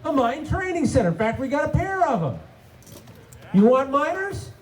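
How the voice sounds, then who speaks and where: A man's voice: very high-pitched, very animated, moderate pace. A man, a state senator, giving an emphatic rally speech built on punchy rhetorical questions.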